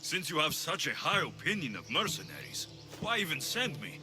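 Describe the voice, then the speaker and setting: An actor playing a tough, wry, menacing mercenary for hire in a video game cutscene. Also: male voice, on the high side, very animated, normal speed, music in the background. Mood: dry, sardonic. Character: tough, sardonic male mercenary for hire, adult.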